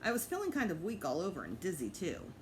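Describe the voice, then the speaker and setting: A woman teacher reading a children's storybook aloud in an expressive narrating style. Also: fairly low pitch, fast talker, a little hiss or hum.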